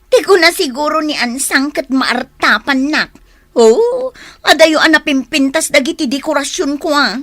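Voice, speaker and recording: An actress playing an envious, competitive housewife in a radio comedy drama, indignant and catty. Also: female voice, high-pitched, expressive, normal speed, fairly bright tone. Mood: smug. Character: envious, competitive housewife scorning her show-off neighbour.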